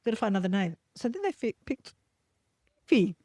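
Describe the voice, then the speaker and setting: A middle-aged Australian woman hosting a podcast, telling family stories in a chatty, animated way and acting out quoted voices. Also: medium pitch, fairly quick, no room sound, clean studio sound. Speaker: podcast host. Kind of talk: casual storytelling chat.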